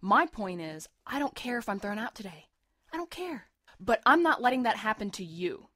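An actress in an audio drama playing a defiant, sarcastic, fiercely protective young medical student, blunt and emotionally intense. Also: female voice, mid-range voice, very animated, normal speed, sharp tone, dry recording, clean studio sound. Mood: earnest, self-mocking. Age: young adult.

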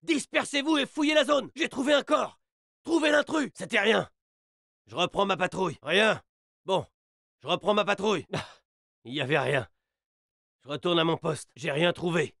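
An actor playing an alert, aggressive soldier in a video game, giving clipped military callouts. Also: male voice, sits high, moderate pace, creaky, long pauses, fairly bright tone, no room sound, clean studio sound, full character voice. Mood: calm, watchful. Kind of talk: video game battle chatter voice lines.

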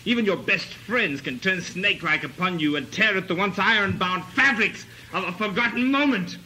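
Man acting a brooding, bright-voiced melodramatic drifter. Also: sits high, expressive, normal speed, breathy edge, heavily acted, poor audio. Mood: bitter ranting. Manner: grandiloquent, ranting, theatrical. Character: brooding long-lost cousin, melodramatic male drifter. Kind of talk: comic melodrama radio play acting.

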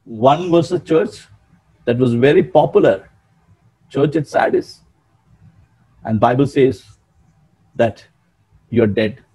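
A man in his sixties preaching a sermon in an emphatic, animated way, often calling out to his listeners, over a webcam in a small room. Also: medium pitch, slow, pauses often, breathy edge, poor audio. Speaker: pastor.

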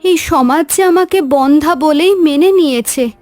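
A sorrowful cartoon wife with a high voice. She laments plaintively, in melodramatic style, as one who feels she has failed. The sound is dry, with soft music behind. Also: a little slow, no room sound. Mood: anguished.

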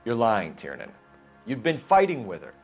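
Hard-nosed American detective, swinging pitch. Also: male voice, huge pitch swings, normal speed, gravelly, faint music behind, exaggerated voice. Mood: accusing. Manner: accusatory, clipped interrogator. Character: hard, pressing 1940s male police detective. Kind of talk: dramatic video game acting.

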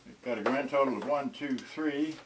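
A man in his sixties gives an off-the-cuff demonstration in a mid-range voice. He talks haltingly, half to himself, while handling things. The camera microphone picks him up from a short distance, with clear noise in the room. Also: medium pitch. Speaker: vintage electronics unboxing vlogger. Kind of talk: casual off-the-cuff demonstration talk. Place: small cluttered indoor storage room.

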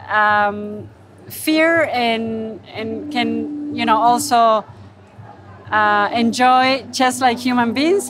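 A woman speaking earnestly in an unscripted interview, pausing to find her words, on a handheld microphone. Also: on the high side, fairly bright tone. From Argentina. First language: Spanish. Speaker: wildlife photographer interviewed at her exhibit. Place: gallery booth at an indoor art fair.

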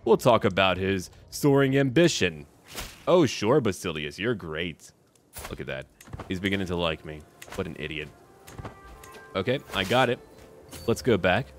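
Male gaming creator, deep voice, casual in-character strategy commentary in clipped asides, faint music underneath. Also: lively intonation, moderate pace, pauses often. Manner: talks to himself and to game characters in short clipped remarks. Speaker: gaming video creator narrating his own playthrough.

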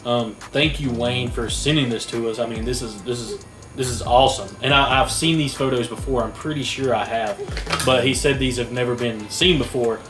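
A man's voice: medium pitch, steady tone, normal speed, over music. A young man co-hosting a casual video review, earnest and fair-minded, rambling with restarts. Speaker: co-host. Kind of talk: casual video analysis chat. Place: small indoor room at a table.

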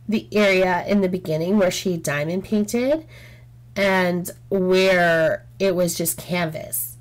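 Slow-talking woman with a sore throat. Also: fairly low pitch. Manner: rambling, frank, many "um"s. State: sore throat, losing her voice. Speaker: craft channel host. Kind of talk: casual chat while diamond painting. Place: home craft table, indoors.

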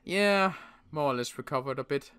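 A man playing a wary adult survivor in an improvised game roleplay, talking in a wry, halting way with frequent restarts. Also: a little high, a bit bright, clean tone. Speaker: roleplay player.

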